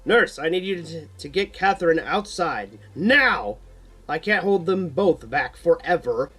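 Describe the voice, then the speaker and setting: A man's voice: a little high, expressive, unhurried, sharp tone, husky, pitched above the performer's own voice, exaggerated voice. A man voicing a tough male demon hunter who is curt and commanding, in an audio horror story. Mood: shouted orders.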